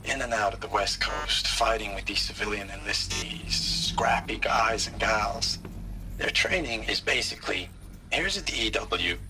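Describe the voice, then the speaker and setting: An actor playing a tired, tender soldier recording a message home in a video game, soft and confiding. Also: male voice, lowish voice, animated, unhurried, noisy background. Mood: fond, wry. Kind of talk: intimate video game monologue.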